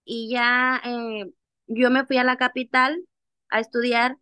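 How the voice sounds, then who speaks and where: Higher-pitched woman with a Costa Chica accent. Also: takes it slowly, clean tone, dry recording. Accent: Costa Chica Mexican Spanish. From Costa Chica, Mexico. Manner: animated, acts out remembered dialogue. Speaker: interview guest, activist. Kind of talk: personal storytelling in conversation.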